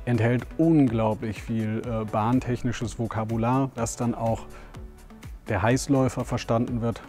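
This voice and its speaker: A man's voice: medium pitch, steady tone, a little slow, dark tone, faint music behind. A man in his forties explaining railway technology in an interview, with some hesitations. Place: large indoor atrium.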